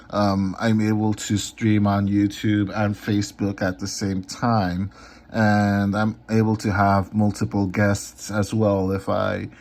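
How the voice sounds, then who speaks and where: A man talking casually in a vlog about his comics and live streams, unhurried, with many ums. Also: fairly low pitch. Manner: unhurried, lots of ums and filler. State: a little hoarse. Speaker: comic artist and YouTuber.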